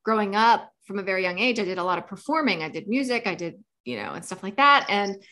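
A woman's voice: medium pitch, animated, fast talker, sharp tone. A woman hosting a marketing podcast, introducing her guest and chatting in an upbeat, friendly way. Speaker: podcast host. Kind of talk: scripted intro then casual interview chat.

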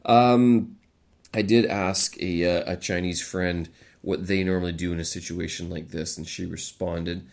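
A man's voice: low-pitched, fairly even intonation, measured pace, darkish tone. A man with a stuffy nose from a head cold, rambling in a casual vlog with many fillers and restarts. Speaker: vlogger. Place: living in China.

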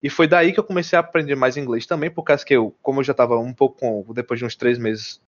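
A man's voice: medium pitch, steady tone, fast talker, lo-fi sound. A Brazilian man casually recounting his trip, rambling with restarts and slang. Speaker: guest telling about living abroad. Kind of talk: casual chat.

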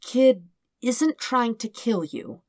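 A narrator voices a calm woman with a careful, measured delivery. She is patient and sympathetic, with gentle exasperation, in a dry, close audiobook recording. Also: a little high, no echo, pitched above the performer's own voice. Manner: measured, careful, matter-of-fact. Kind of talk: fan fiction audiobook reading.

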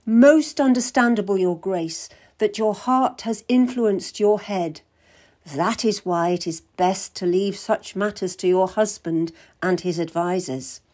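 A woman reading an audiobook voices a pompous, patronizing older male cardinal in a smooth, condescending, deliberate way. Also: mid-range voice, darkish tone, natural voice. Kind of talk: audiobook character voice.

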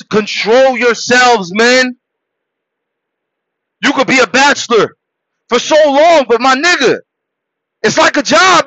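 A man born in Haiti hosting a podcast, delivering a preachy motivational monologue that swings between loud shouted exhortations and low, quiet murmurs. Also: no room sound, muffled recording. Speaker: podcast host.

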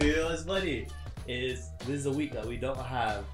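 Male co-host, mid pitch, dry asides, loud music bed. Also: normal speed. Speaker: podcast co-host. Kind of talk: casual group chat.

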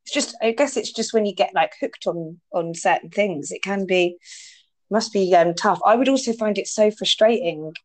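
A woman in casual conversation, talking quickly and getting her words mixed up, with lots of fillers. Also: mid-range voice, darkish tone. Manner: chatty, quick and mixes up words, many fillers. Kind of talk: casual chat.

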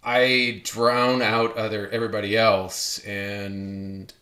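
A man's voice: fairly low pitch, level tone, takes it slowly, bright, forceful tone. A man hosting a podcast conversation, rambling with many restarts and self-corrections. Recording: echoey room.

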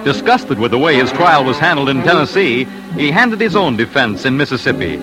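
A man's voice: mid-range voice, animated, fairly quick, rough recording. A man delivering dramatic scripted narration for an old-time radio documentary. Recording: old radio broadcast with music.

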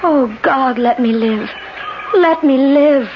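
A desperate but determined woman in a 1970s radio play. Her high voice is urgent and halting, repeating words, on a noisy, muffled broadcast recording. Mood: pleading. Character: desperate, determined woman fighting to escape death. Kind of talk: 1970s radio drama acting.

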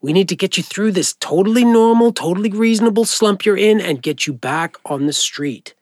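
A man playing a friendly, informal doctor who gently encourages and reassures a patient in an audio drama. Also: high voice, expressive, brisk, no room sound, studio quality. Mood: reassuring. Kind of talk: naturalistic audio drama acting.

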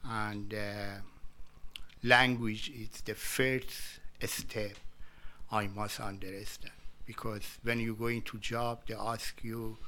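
A man guest in a conversational interview, speaking halting English as a second language in short broken phrases. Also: fairly low pitch, flat delivery, bright, forceful tone. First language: not English.

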